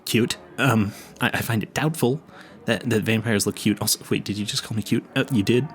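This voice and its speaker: A man's voice: fairly low pitch, steady tone, a little fast, close to an everyday voice. A man playing a clueless, earnest vampire hunter in an audio roleplay, stammering and rambling in a politely formal, pleading way. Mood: flustered, bashful. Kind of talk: intimate audio roleplay addressed to the listener.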